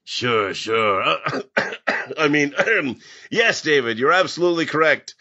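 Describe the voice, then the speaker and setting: Bright, forceful man co-hosting a podcast. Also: a little high, animated, measured pace, highs cut off. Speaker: podcast co-host. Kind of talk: casual scripted podcast banter.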